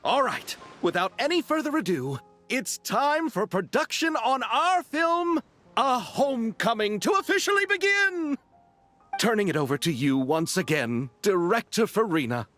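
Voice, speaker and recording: High-pitched, formal announcer man. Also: very animated, a little slow, a bit bright, soft background music, heavily acted. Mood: ceremonious. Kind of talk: animated game voice acting.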